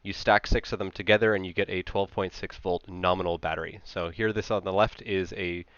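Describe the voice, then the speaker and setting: A man gives an informal technical talk in a notably low voice, explaining things step by step in a conversational way. He sounds like a conference presenter on a headset. The recording is very dry and a little muffled. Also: steady tone, brisk, fairly bright tone, no echo.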